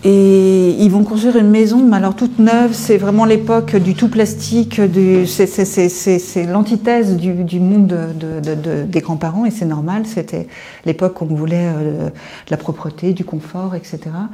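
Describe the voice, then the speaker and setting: A woman in her fifties from France, an artist telling her story in an informal interview in a hesitant, halting, reflective way. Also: medium pitch, fairly even intonation, mellow. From France, Paris suburbs. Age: 50s. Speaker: visual artist being interviewed. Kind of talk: informal guided-tour interview.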